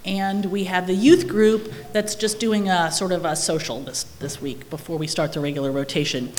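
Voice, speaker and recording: A hoarse woman giving upbeat church announcements at a microphone, listing details. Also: lowish voice, steady tone, moderate pace, a little mellow. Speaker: religious education coordinator. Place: church sanctuary. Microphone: microphone at a lectern. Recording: long reverb of a church hall.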